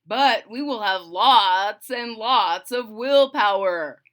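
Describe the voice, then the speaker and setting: Woman playing a bright, sensible storybook frog. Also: fairly high pitch, slow pace. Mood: content. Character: sensible, determined male frog, gently instructing his friend. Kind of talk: children's storybook read-aloud.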